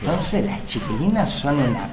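A man's voice: medium pitch, big pitch swings, normal speed, roomy sound, crowd noise, close to an everyday voice. A man with a Uruguayan accent playing a crude, boastful brigadista soldier who talks in blunt, rambling bursts, in a live radio comedy sketch. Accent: Uruguayan Spanish. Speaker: comic guest character.

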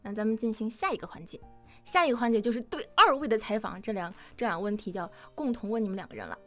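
A woman's voice: on the high side, quick pace. A woman hosting an informal live interview as the presenter of an online stream. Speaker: livestream host interviewing voice actors. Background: music.

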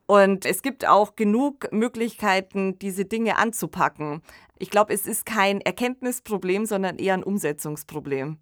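A woman's voice: mid-range voice, moderate pace, no room sound, studio quality. A woman co-hosting a discussion podcast. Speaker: podcast co-host.

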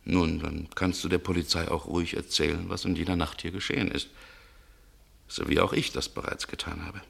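A male actor playing a shrewd, cool man in a German radio mystery, speaking in a gravelly, deliberate, insinuating way. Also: deep voice, steady tone, fairly bright tone, full character voice. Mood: accusing, controlled. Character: shrewd, cool man coolly interrogating a murder suspect.

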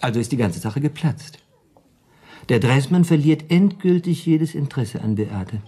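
An actor playing a wry, shrewd dinner companion in a German radio play, a husky, mellow man who argues deliberately and persuasively. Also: mid-range voice, expressive. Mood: scheming.

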